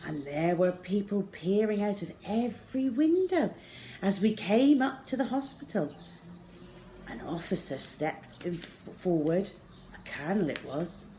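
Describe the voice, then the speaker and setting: A woman's voice: mid-range voice, sing-song, unhurried, warm tone, slight background noise. A middle-aged woman reading a children's story aloud expressively, indoors in a room. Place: small plain room indoors.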